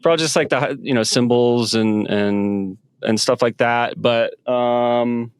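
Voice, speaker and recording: A man with a smooth, resonant voice and a dry, close sound, speaking in a halting, casual way. He fills his answers with ums and restarts as he explains his gear. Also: medium pitch, no echo. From United States. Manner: halting, many fillers and restarts. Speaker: guest musician. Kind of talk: casual interview talk. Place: home studio with synth rack.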